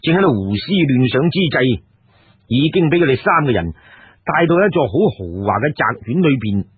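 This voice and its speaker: A man's voice: medium pitch, very animated, a little fast, breathy edge, no room sound. A man with a Cantonese accent narrating a scripted story expressively as a storyteller. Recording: lo-fi, narrow phone-like radio sound.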